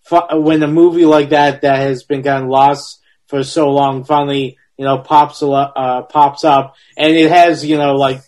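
A man's voice: medium pitch, flat delivery, moderate pace, darkish tone. A man in his early forties casually discussing a film as a podcast panelist, feeling his way through sentences with restarts.

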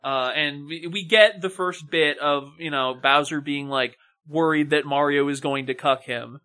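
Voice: medium pitch, a little slow, sharp tone. A podcast co-host giving casual film commentary in a wry, sarcastic and opinionated way.

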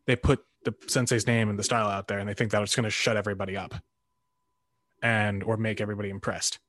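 A man with a fairly low voice, recorded dry with little room sound. He talks casually, working out his opinions aloud in halting, restarted phrases. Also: fairly bright tone, no echo. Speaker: karate practitioner in discussion. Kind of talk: casual conversation.

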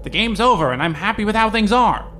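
Man as a hesitant, deadpan young god, slightly high voice, faint hiss. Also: fairly quick. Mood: unsure. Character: mild, weary young male god. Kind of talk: amateur audio drama fandub.

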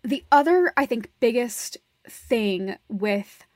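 A woman's voice: fairly high pitch, animated, slow, a little mellow. A woman co-hosting a podcast, talking casually and emphatically in a gushing, sweary discussion. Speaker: podcast co-host. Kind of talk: animated casual discussion and critique.

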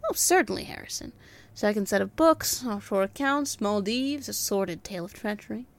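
A woman's voice: medium pitch, expressive, moderate pace, close to an everyday voice. A woman playing a wisecracking male detective's assistant in a noir audio drama, speaking wryly and teasingly. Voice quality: wry and teasing.

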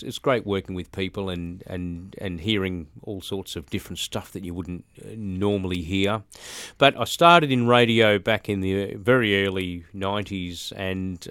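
Aussie guy in his 40s, real low voice, lots of ums and restarts, dry sound. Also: fairly bright tone, clean tone, no room sound. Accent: Australian. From Australia. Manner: halting, many fillers and restarts. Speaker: interview guest, musician. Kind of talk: casual interview talk.

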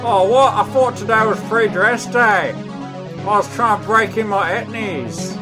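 A man's voice: high voice, measured pace, over music. A man playing a cocky, grumbling young marine in an audio drama, brash and slangy. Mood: whining.

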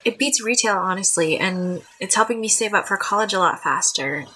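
A woman's voice: mid-range voice, animated, normal speed, bright, forceful tone, a little hiss or hum, poor audio. A woman acting a considerate, apologetic younger sister, a young adult, earnest and hesitant, in a modern audio drama. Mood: earnest, defensive.

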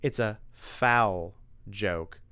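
A man voicing a cocky, wisecracking young hero in a read-aloud story, quick and cheeky. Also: fairly low pitch, steady tone, a bit bright, smooth.

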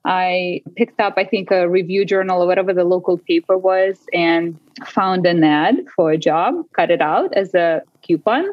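A woman's voice: lowish voice, normal speed, no echo. Woman on a dull remote line. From Russia. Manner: animated, laughs often. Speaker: podcast guest. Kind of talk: casual interview storytelling. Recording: dry, dull lo-fi call audio.

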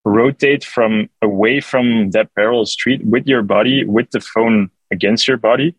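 Male company rep, lower pitch, hesitant explainer, dry sound. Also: husky, no room sound, poor audio. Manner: hesitant, halting with many fillers and restarts. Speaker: app company representative answering questions. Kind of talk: casual call-in Q&A.

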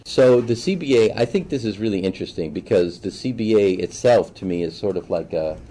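A man in his forties from Boston giving thoughtful, halting answers as a political candidate in a conversational interview. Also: fairly low pitch, animated, mellow. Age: 40s. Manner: halting, thoughtful, often pausing mid-sentence. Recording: faint background noise, room ambience.

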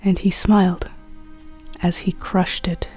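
A woman performing a literary first-person story in a hushed, breathy, deliberate manner. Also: lowish voice, level tone, faint music behind.

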